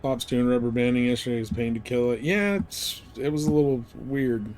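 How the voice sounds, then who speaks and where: A man's voice: medium pitch, moderate pace, a little mellow, a little hiss or hum, low-quality recording. A man giving casual, live commentary as he streams a video game.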